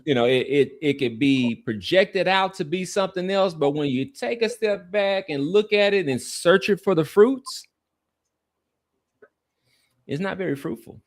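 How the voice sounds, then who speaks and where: A man in a casual podcast chat, talking in a reflective, halting and rambling way. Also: high voice, animated. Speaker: sports podcast host. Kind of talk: casual sports and society chat.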